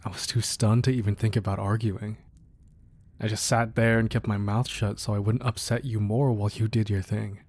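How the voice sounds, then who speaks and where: Low, mellow, devoted crime boss boyfriend man acting. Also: little pitch movement, normal speed. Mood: tender, reflective. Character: devoted, protective crime boss boyfriend confessing his feelings. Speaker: audio roleplay performer. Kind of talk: romantic ASMR roleplay, intimate scripted monologue.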